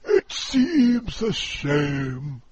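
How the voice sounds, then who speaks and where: A man voicing a greedy, pompous old walrus, declaiming theatrically and shouting his lines in a storybook poem reading. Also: fairly high pitch, huge pitch swings, drawn-out words, very noisy, pitched above the performer's own voice, exaggerated voice. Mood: mock sorrowful.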